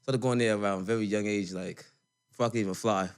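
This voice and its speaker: A man's voice: fairly low pitch, steady tone, fast, clear, resonant tone. A man from New Jersey chatting casually as a radio guest, slangy and repeating his phrases. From New Jersey, United States. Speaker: rapper guest. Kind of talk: casual hip-hop radio chat.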